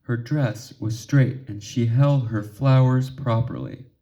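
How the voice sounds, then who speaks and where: A man reading a children's story aloud expressively, with measured pauses and emphasis for drama. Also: medium pitch, mellow. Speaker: storybook reader.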